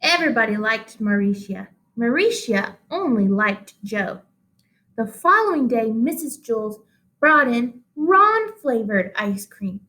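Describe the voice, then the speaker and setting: A woman reading a children's story aloud expressively, like a teacher, with deliberate pauses and emphasis. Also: a little high, very animated, pushed and bright, poor audio.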